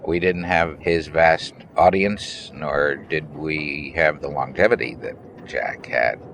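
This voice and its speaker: A man's voice: very deep voice, fairly even intonation, slow, vocal fry, fairly bright tone, a little hiss or hum. An older man reflecting on his past as an interviewee. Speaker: interviewee, former radio performer.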